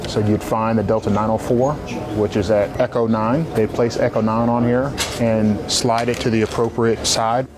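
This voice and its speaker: A man's voice: lowish voice, little pitch movement, normal speed, music in the background. A man giving a matter-of-fact, procedural demonstration as an air traffic controller, recorded with ambient noise. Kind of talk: on-site demonstration. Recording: clear ambient background noise.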